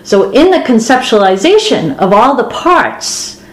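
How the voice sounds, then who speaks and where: A woman's voice: on the high side, big pitch swings, measured pace. Woman co-host, expansive explainer, echoey room. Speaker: podcast co-host. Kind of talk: spiritual discussion. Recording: roomy echo.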